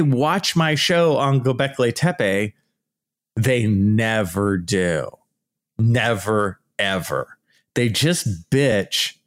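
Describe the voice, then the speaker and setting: Man, loud shouty delivery, exasperated vlog rant, mid-range voice. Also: medium pitch. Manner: loud, animated, exasperated ranting with laughs. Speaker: archaeology YouTuber. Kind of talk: casual vlog commentary.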